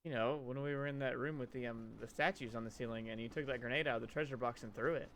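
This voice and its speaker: A man's voice: mid-range voice, fairly even intonation, quick pace, fairly bright tone, a little hiss or hum, lightly acted. A man voicing an anxious, sarcastic young woman who narrates her ordeal in a visual novel read-aloud. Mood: hesitant.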